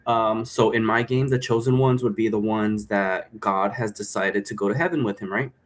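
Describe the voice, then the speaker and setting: A man's voice: fairly low pitch, fairly even intonation, moderate pace. A man hosting a solo vlog, talking in a chatty, informal, meandering way. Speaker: host. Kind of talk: casual rambling solo vlog. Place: indoor room at home.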